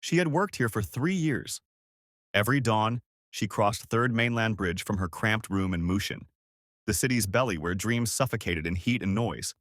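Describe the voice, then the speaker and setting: A man's voice: lowish voice, lively intonation, moderate pace, fairly bright tone, dry recording, studio quality. A man narrating a scripted dramatic story as a storyteller.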